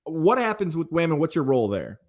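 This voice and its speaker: A man's voice: on the high side, sing-song, a little fast. An American man interviewing a guest conversationally as host, with talkative, long lead-ins to his questions.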